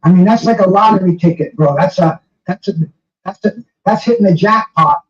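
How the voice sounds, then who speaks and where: A man's voice: sits high, a little fast. An older man hosting a casual interview, stammering and repeating words, with an admiring way of talking. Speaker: host interviewer, boxing fan. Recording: muffled, roomy, distant from the mic.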